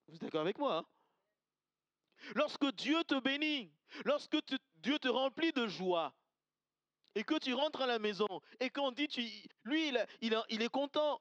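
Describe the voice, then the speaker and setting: A man's voice: very high-pitched, fairly bright tone, no room sound, muffled recording. A man from Côte d'Ivoire, preaching an earnest, emphatic sermon to his congregation and repeating key lines. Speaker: pastor. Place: church service.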